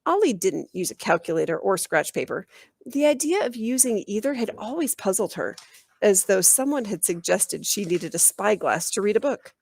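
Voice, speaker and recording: A woman reading a children's book aloud in an animated, expressive storytelling style. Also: medium pitch, normal speed, creaky, breathy edge, no room sound. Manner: animated, acts out dialogue.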